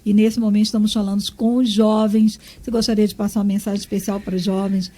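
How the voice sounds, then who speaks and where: A woman's voice: mid-range voice, little pitch movement, fast. Effusive woman hosting a radio interview. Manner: warm, effusive. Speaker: radio host.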